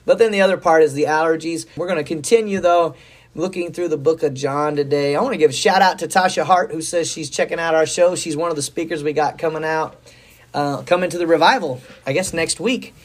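A hoarse middle-aged man, a pastor co-hosting a podcast, talking in a rambling, folksy and enthusiastic way about faith and church news. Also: fairly high pitch, brisk. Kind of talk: casual faith talk.

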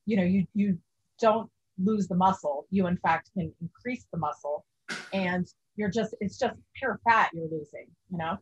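A woman's voice: lowish voice, moderate pace, husky, dull audio. A woman in her forties, a guest in a casual interview, speaking earnestly. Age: 40s.

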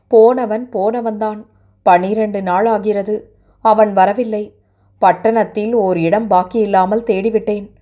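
A woman's voice: medium pitch, mellow, lightly acted. A woman voicing a distraught, remorseful young woman who speaks in a sorrowful, pleading way.

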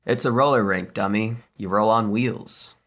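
A woman plays a bored sixteen-year-old sister in a comedy table read. She is deadpan and teasing, with plenty of vocal fry under her sarcasm. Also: rumbling low, moderate pace. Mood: bored, sarcastic.

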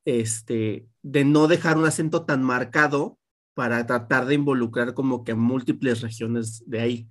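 A man's voice: medium pitch, steady tone, a little slow. Man explaining with trailing fillers. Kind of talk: casual film review chat.

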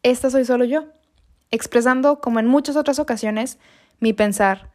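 A young woman talks to the camera about her own life. Her voice sits a little high, and she sounds earnest and reflective, leaving unhurried pauses between her thoughts. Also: a little mellow. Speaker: vlogger. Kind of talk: personal storytelling monologue.

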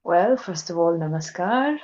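A woman with an Indian accent speaks as a podcast guest in a lowish voice. She takes her time, explaining in a reflective, measured manner. The audio is a little dull and lo-fi. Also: animated, a little mellow. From Assam, northeast India. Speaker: interview guest, yoga teacher. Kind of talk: thoughtful podcast conversation.

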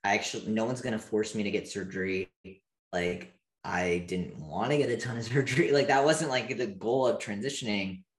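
A man's voice: lowish voice, moderate pace. A man in his thirties, an interview guest speaking candidly and openly, with lots of casual fillers. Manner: open, animated, frequent "like" fillers. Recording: echoey room.

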